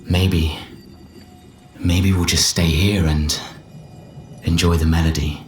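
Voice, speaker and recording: An actor playing a weary, despairing expedition member who records a halting, heartfelt journal in a video game. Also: male voice, low voice, fairly even intonation. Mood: dazed, uneasy. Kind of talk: dramatic game voice acting, reflective monologue. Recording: roomy echo under music.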